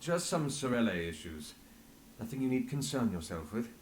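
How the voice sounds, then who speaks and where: An actor playing a measured, earnest male investigator in a video game, formal and tactful. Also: mid-range voice, sing-song, a little hiss or hum, natural voice. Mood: composed, firm. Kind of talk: dramatic video game voice acting.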